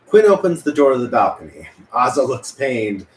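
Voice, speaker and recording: A man's voice: mid-range voice, very animated, moderate pace. A man narrating stage directions at a script table read. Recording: echoey room, faint noise.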